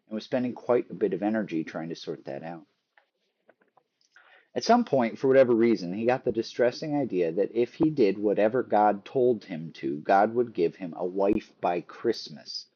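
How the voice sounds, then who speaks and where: Male narrator, low and mellow, measured book read-aloud with dry wit, slightly dull recording. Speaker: author reading a book aloud.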